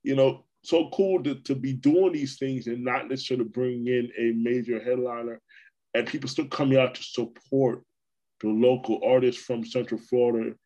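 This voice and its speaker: Man, podcast host, from New York, casual chat, relaxed, repeats himself. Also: medium pitch, moderate pace, muffled recording. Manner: relaxed, repeats words and restarts often. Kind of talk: casual conversation.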